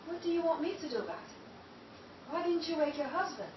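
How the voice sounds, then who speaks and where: A woman playing a blunt, sharp-tongued woman woken at night, in a 1930s-style radio drama. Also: high-pitched, expressive, normal speed. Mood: brusque. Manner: brusque, then shrieking in fright. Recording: roomy, distant, dull and noisy.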